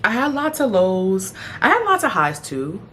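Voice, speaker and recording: A woman in her thirties chatting casually as a beauty vlogger while doing her makeup, playful, with sudden high exclamations. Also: very animated, normal speed, a bit bright, slight background noise. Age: 30s. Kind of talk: casual get-ready-with-me chat.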